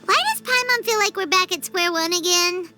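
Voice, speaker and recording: A woman voicing a tiny, excitable floating fairy girl in a video game, with exaggerated reactions. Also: very high-pitched, normal speed, loud music behind the voice. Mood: grumbling. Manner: refers to herself in third person, exaggerated reactions. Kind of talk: animated game dub acting.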